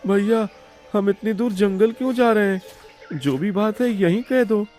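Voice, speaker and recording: A man voicing a distressed, pampered young brother in an animated moral story, plaintive and timid. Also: high voice, measured pace, soft background music. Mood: anxious.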